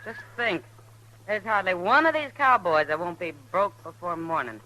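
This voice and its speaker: A woman's voice: lowish voice, moderate pace, bright, forceful tone, a little hiss or hum, low-quality recording. An actress playing a worldly, frank and chatty saloon woman in an old-time radio Western. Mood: relaxed, chatty. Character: worldly, sociable frontier saloon woman, plain-spoken.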